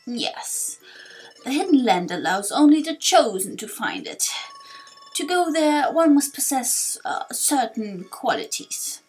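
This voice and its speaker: A woman voicing a forgetful old male tortoise elder in a video game, halting and murmuring as he talks. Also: high voice, huge pitch swings, a little mellow, faint music behind, full character voice, poor audio. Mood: sage, musing. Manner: slow, halting, mumbling.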